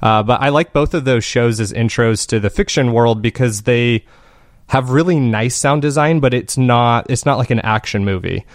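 A man's voice: mid-range voice, normal speed, no echo, clean studio sound. A man speaking as an interview guest, chatting casually and enthusiastically in rambling explanations. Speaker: guest, fiction podcast maker.

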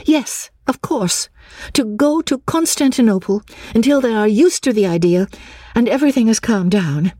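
A woman voicing an excitable, desperate young Englishwoman in an audiobook, gushing breathlessly and stammering over repeated words. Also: a little high, unhurried, slightly rough, no echo, clean studio sound, voice pushed up, heavily acted. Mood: flustered. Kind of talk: audiobook character dialogue.

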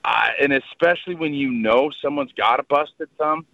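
A man giving casual football analysis on a radio morning show, animated and talkative. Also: mid-range voice, moderate pace, vocal fry, no room sound, dull audio. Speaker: former player, radio analyst. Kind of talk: casual radio sports talk.

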